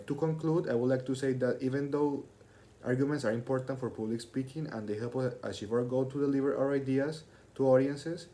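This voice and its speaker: A man's voice: medium pitch, mellow. A young man, a student, delivering a prepared class speech in a formal, expository manner, as if reading from his text. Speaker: student speaker.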